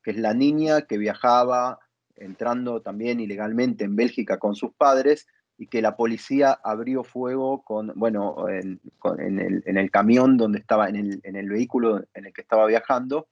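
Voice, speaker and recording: A man's voice: on the low side, normal speed, no room sound. A man interviewing in a panel conversation, posing questions in a hesitant, searching way. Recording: dull, lo-fi sound.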